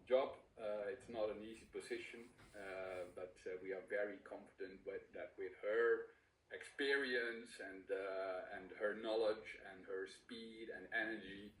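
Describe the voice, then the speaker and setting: Male executive, deeper side, hesitant business presenter, recorded at a distance in a reverberant room. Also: steady tone, fairly bright tone, slight background noise. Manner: hesitant, halting delivery with frequent pauses and fillers. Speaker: company executive introducing a colleague. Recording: echoey room, distant microphone.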